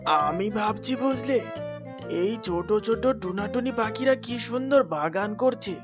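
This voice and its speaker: A humble crow husband from a kids' cartoon, voiced by a man, high for a male. He talks in a familiar, folksy way with loud music behind him. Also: expressive, measured pace. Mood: admiring. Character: humble crow husband, haggling and devoted to wife. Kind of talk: children's cartoon character voice.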